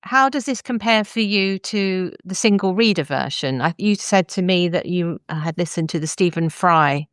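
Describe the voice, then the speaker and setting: Clear-voiced woman hosting a podcast. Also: fairly low pitch, moderate pace, few pauses, no echo, studio quality. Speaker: podcast host. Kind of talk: conversational podcast review and discussion.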